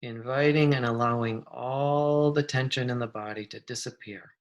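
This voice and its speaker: Meditation guide, medium pitch: soft-mannered, soothing, unhurried, leaving long pauses between gentle instructions. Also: steady tone, muffled recording.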